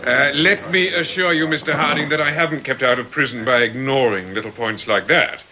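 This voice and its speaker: An actor playing a suave, authoritative criminal boss in a radio drama, speaking in a measured, formal, businesslike way. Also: male voice, medium pitch, fairly even intonation, bright, forceful tone, slight background noise, low-quality recording. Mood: confident, emphatic. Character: suave, authoritative male criminal boss running a scheme like a company.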